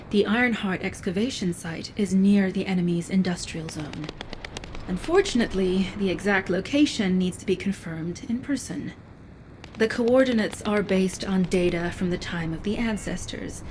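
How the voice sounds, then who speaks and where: A woman's voice: mid-range voice, a little hiss or hum, close to an everyday voice, low-quality recording. A woman playing a composed, confident special-forces operative in a game cutscene, cool, assured and businesslike. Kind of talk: scripted game cutscene acting.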